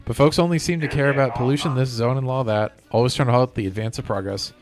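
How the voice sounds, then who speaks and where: A man's voice: mid-range voice, quick pace, lightly acted. A man voicing a stubborn corporate robot land developer from a video game, with a drawling, folksy, self-important manner, over music. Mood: folksy, aggrieved. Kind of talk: reading video game villain dialogue aloud.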